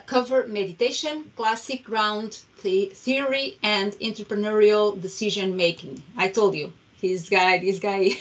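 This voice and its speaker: A woman hosting an online meetup, introducing a guest speaker in a friendly, halting way with many fillers and restarts, speaking through a headset on a video call. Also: medium pitch. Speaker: meetup host. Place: home room, video call. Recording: distant, dull video call audio.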